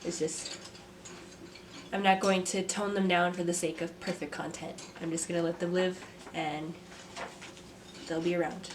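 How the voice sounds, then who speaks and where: A young woman, a mother and artist, talking to camera from a script in a soft, reflective vlog; she sounds stuffy and congested. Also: lowish voice, little pitch movement, measured pace, background noise. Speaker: vlogger, artist. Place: home. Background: kids playing.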